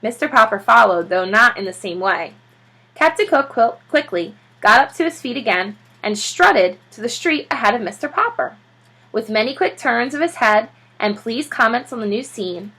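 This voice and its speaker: A woman reads a children's storybook aloud like a teacher to a class. Her voice is fairly high and bright, with a slightly husky edge and very lively intonation. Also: poor audio. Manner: expressive, acts out character voices.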